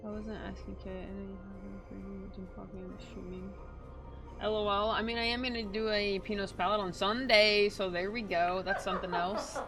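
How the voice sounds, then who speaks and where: Creaky-voiced woman game streamer. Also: mid-range voice, steady tone, brisk, music in the background. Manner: slangy, offhand, trailing off. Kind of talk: casual live stream chat while gaming. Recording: clear background noise, clicking, game audio.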